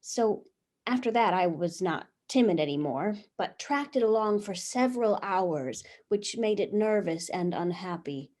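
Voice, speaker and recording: A woman's voice: medium pitch, lively intonation, unhurried, a little mellow. A woman playing Eve, a newly made, curious and talkative first woman, reading her diary in a wondering, chatty way over a video call. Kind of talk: stage reading of a comic play.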